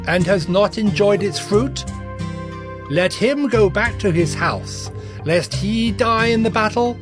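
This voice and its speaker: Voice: mid-range voice, lightly acted. Proclaiming army officer over music voiced by an actor. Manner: proclaiming, declamatory. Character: army officer calling out loudly to assembled troops. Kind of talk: dramatized scripture reading. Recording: music behind the voice.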